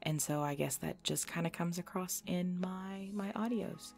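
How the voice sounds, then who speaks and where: A woman's voice: fairly low pitch, moderate pace, creaky, darkish tone. A young adult woman answering listener questions in a casual, chatty, self-deprecating way. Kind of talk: casual Q&A chat. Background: background music.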